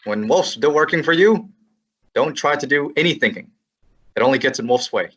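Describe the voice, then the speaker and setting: A young man voices a wisecracking detective's assistant who talks in a breezy, wry, matter-of-fact way. His voice sits slightly high. The recording sounds a little dull and lo-fi. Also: lively intonation, moderate pace, fairly bright tone. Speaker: student actor. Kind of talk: student radio drama acting.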